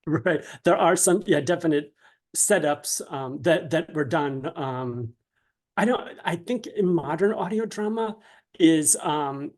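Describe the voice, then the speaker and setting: A man with a slightly high-pitched voice talks as a guest in an interview. He explains his craft conversationally and haltingly, feeling his way through sentences and tagging them with "right?". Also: a little mellow. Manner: halting, often checks with "right?".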